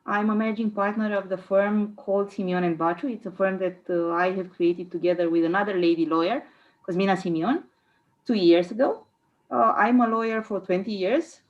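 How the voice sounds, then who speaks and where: A woman's voice: medium pitch, fairly even intonation, a little fast. A woman, speaking as a panelist on a video call, matter-of-fact. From Romania. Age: 40s. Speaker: panelist, lawyer. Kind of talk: online panel discussion. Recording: dull lo-fi call audio.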